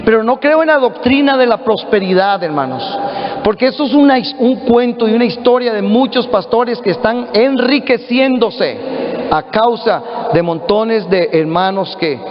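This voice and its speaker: Costa rican pastor preaching, pretty high voice, big echoey church sound, kinda muffled. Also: male voice, lively intonation, normal speed. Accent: Costa Rican Spanish. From Costa Rica. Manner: earnest preaching, addresses listeners as brothers. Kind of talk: sermon. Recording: echoey hall, dull lo-fi audio.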